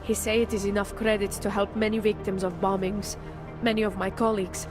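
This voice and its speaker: An actress playing a nervous, guilt-ridden surveillance worker in a video game, with a Czech accent and halting broken English. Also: female voice, mid-range voice, level tone, close to an everyday voice. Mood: guilty, hesitant. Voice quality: broken English with dropped articles. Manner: halting, jumpy, repeating herself. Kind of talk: video game cutscene acting. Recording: music playing behind the voice.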